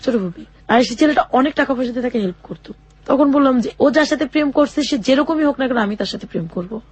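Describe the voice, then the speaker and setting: A young woman telling her own life story in an interview, speaking haltingly with many fillers and restarts. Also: high voice, animated, a little mellow, slightly rough, dry recording. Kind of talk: personal testimony in a radio interview.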